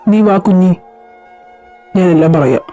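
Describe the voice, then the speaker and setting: A woman voicing a steady male friend and officer who gives firm orders and comforts others in an audio drama. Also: fairly low pitch, a little slow, highs cut off, soft background music, lightly acted. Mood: comforting teasing. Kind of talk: amateur audio drama voice acting.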